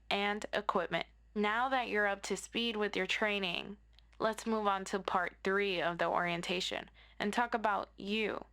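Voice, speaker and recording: A woman's voice: a little high, a bit bright. A woman playing a friendly superhero best friend in a scripted audio roleplay, giving an orderly, encouraging orientation lecture.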